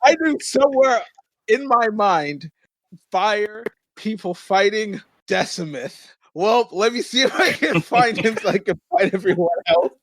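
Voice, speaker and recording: A man's voice: sits high, animated, unhurried, a bit bright, dry recording. A man chatting casually as a player in a tabletop game, blunt, slangy and profane.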